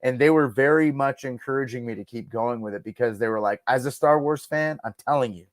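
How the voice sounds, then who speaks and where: A man's voice: medium pitch, fairly quick, no room sound. A man speaking as a podcast guest in a casual interview, enthusiastic and emphatic about his own creative work. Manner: enthusiastic, emphatic, acts out quoted lines. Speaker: guest, radio producer and audio drama creator.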